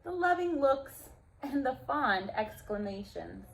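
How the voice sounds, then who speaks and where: Female children's storyteller, higher-pitched, very slow delivery, gentle, expressive, talks straight to the young audience. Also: vocal fry, a little hiss or hum, low-quality recording. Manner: expressive, gentle, speaking directly to young listeners. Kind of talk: reading a picture book aloud to children, with asides.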